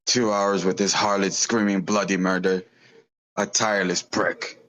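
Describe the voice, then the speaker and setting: A man's voice: low-pitched, level tone, a little slow, a bit bright. A man playing a crude, resentful poor commoner in a screenplay table read. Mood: bitter, crude.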